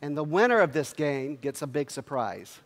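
Energetic man on a convention stage. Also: on the high side. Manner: energetic, quizzes the audience with quick questions. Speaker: company executive speaking at a convention. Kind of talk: motivational stage speech to a crowd. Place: large convention hall stage. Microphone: headset mic. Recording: long hall reverb.

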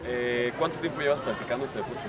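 A man's voice: medium pitch, fast talker, over loud music. A man in his twenties with a Mexican accent, interviewing athletes on a campus with teasing, playful questions. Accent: Mexican Spanish. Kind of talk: casual joking interviews. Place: outdoors on a university campus. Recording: dull lo-fi sound, loud outdoor noise.

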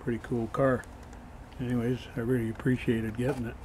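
A man giving a casual show-and-tell as a toy collector, low-key and matter-of-fact, on an amateur camera microphone. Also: level tone, brisk, background noise. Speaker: toy collector showing his finds.

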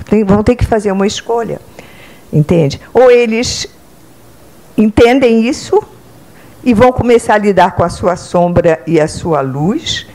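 A woman's voice: medium pitch, a little slow, rough recording. An older Brazilian woman, a psychotherapist, giving a spiritual lecture standing in an auditorium, talking into a handheld microphone and often checking in with her listeners. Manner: conversational, checks in with "entende?" and "né?"; speaks with lively hand gestures, standing. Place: club auditorium, indoors.

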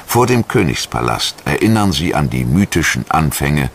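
A man reading scripted historical documentary narration in a measured, solemn and formal manner. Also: a bass voice, big pitch swings, a little hiss or hum. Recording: music behind the voice. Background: background music.

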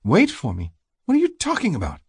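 A male narrator plays a small, defiant woman in an audiobook romance. Her voice sits far higher than his own, with a breathy husk. She sounds wounded and indignant, speaking bluntly and casually in a dry, close space. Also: sing-song, fast, darkish tone, no echo. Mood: hurt, confused.

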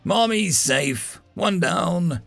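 Man voicing gruff ape-man, storybook fantasy, gravelly growl, sardonic grumbler, animated swings, music underneath. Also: fairly high pitch, a little slow, dry recording, voice pushed up, heavily acted. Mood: grumbling. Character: gruff, crude, weary ape-man god in tattered bathrobe.

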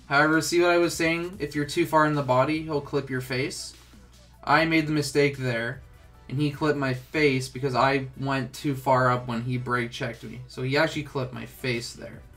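A man's voice: mid-range voice, fairly even intonation, moderate pace, a bit bright, faint music behind. A young man with a stuffed-up, congested voice, casually talking through a game tutorial with lots of filler words. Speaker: gaming tutorial host.

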